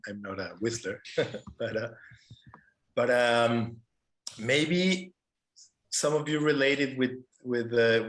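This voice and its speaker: Male panelist, Mexican Spanish accent, medium pitch, unhurried and halting delivery, informal presenting. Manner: halting, searching for words. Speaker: panelist, conservation photographer. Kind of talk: informal presentation to an online audience.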